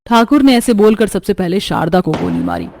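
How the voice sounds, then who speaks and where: A woman's voice: mid-range voice, wildly swooping pitch, fairly quick, darkish tone. A woman narrating a scripted horror story as its storyteller. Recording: music under the voice.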